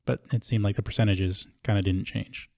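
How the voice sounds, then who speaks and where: A man's voice: on the low side, steady tone, fairly quick, vocal fry, dark tone, no echo, studio quality. A man hosting a podcast from Hawaii, chatting informally with lots of casual fillers. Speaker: podcast host. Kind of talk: casual interview conversation. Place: podcast studio. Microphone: studio microphone.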